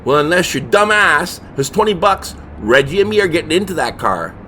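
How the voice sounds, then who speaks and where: A man's voice: a little high, animated, normal speed, sharp tone, slight background noise. A man acting a cranky, sarcastic brother in a comedy horror audio drama, sounding exasperated.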